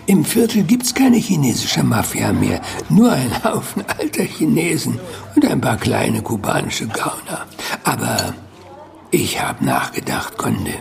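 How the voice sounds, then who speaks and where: An actor playing a sly, teasing Cuban-Chinese man in a radio drama, with a gravelly voice and drawn-out, swooping delivery. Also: medium pitch, wildly swooping pitch, heavily acted. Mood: sly, knowing. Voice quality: creaky, gravelly. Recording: music behind the voice.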